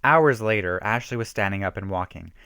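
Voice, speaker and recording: A man's voice: on the low side, animated, a little fast, sharp tone, smooth, no room sound, studio quality. A man giving an emphatic, theatrical reading of a horror story, stumbling now and then. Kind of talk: dramatic reading of a self-written horror story.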